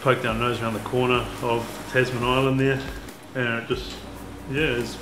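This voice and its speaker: A young man with a New Zealand accent, chatting casually about a fishing trip, relaxed and full of fillers. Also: mid-range voice, moderate pace, noisy background. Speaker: fisherman. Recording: roomy sound with music behind.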